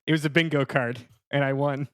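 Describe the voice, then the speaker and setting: A man's voice: mid-range voice, fairly even intonation, brisk, fairly bright tone, clean tone, dry recording, studio quality. A man co-hosting a podcast, chatting casually with hesitant, rambling phrasing. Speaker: podcast co-host.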